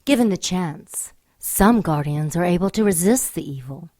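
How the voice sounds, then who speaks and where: An actress as a solemn female narrator of a supernatural audio drama. Her voice is on the low side, recorded very dry and close, with measured dramatic delivery. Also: expressive, a little mellow, no echo, lightly acted. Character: solemn storyteller of a supernatural tale.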